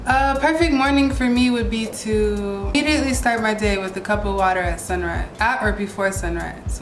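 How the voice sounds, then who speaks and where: A young American woman vlogging casually about travel and healthy living, chatty and gushing as she rambles between topics. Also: medium pitch. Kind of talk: casual vlog chat. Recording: roomy echo.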